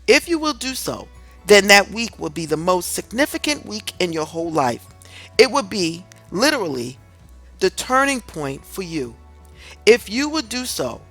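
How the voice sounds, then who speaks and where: A woman reads a scripted self-help audiobook in a deliberate, earnest, instructive way. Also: fairly low pitch, a bit bright, dry recording. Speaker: audiobook reader. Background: music in the background.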